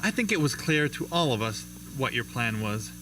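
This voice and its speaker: A man playing a probing, sympathetic television interviewer with polished, measured delivery in an amateur radio drama. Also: medium pitch, big pitch swings, background noise, lightly acted. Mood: curious, probing. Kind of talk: amateur radio drama acting, talk show host.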